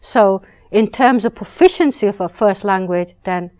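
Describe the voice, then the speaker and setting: A woman novelist from Britain, answering interview questions in a measured, reflective way with some restarts. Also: medium pitch, a little mellow.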